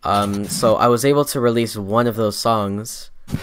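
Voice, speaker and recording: A man's voice: fairly low pitch, moderate pace, slight background noise. A young man co-hosting a podcast in casual chat, rambling with lots of fillers and restarts, often laughing. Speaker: podcast co-host and rapper.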